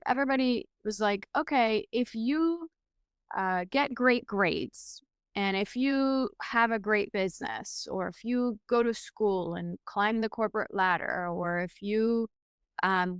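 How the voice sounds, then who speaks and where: A woman in her forties, from Iran, speaks as an interview guest in an earnest, reflective way, pausing often with fillers. Her voice is very smooth and resonant. The recording is close and dry. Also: medium pitch, dry recording. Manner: earnest, many fillers and restarts.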